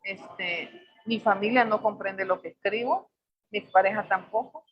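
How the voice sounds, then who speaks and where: A woman in her forties from El Salvador, with a Salvadoran accent tinged with Mexican, speaking as a poet on a video call. She talks thoughtfully, searching for words and filling pauses often. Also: medium pitch, dull audio. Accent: Salvadoran Spanish mixed with Mexican. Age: 40s. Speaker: poet on a panel. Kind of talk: reflective personal talk.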